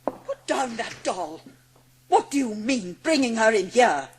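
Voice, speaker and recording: A woman playing a cruel, cold-hearted schoolmistress in an audiobook drama, imperious and scornful, with drawn-out emphasis. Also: on the high side, sing-song, slightly rough, heavily acted.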